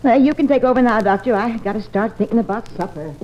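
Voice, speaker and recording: A woman's voice: fairly high pitch, fast, darkish tone, slight background noise, natural voice. A woman playing a good-natured, exasperated, folksy housekeeper in an old radio comedy-drama, on a muffled recording.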